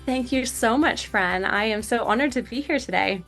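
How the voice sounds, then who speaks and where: A woman's voice: fairly high pitch, normal speed, a bit bright, soft background music. A middle-aged woman from Malawi, a coach appearing as a podcast guest, telling her own story conversationally. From grew up in Malawi.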